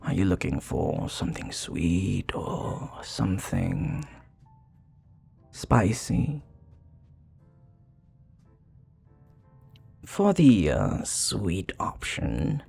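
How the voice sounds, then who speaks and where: Man as a low, husky, flirty vampire bartender. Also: wildly swooping pitch, faint music behind, heavily acted. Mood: smooth, inviting. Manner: soft, unhurried, teasing, with breathy murmurs and hesitations. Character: flirty, charming male vampire bartender, smooth teasing flatterer. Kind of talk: intimate ASMR roleplay acting.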